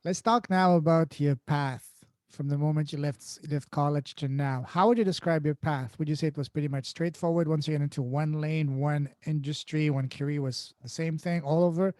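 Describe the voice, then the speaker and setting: A man's voice: a little high, steady tone, a little fast, darkish tone, dry recording. A man from France with a French accent, hosting a podcast and encouraging his guest with short reactions. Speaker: podcast host. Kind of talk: interview questions.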